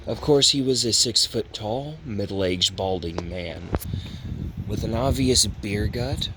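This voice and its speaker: A man's voice: lowish voice, slow, bright, forceful tone. A man narrating a horror story in an amateur style, on a noisy home recording.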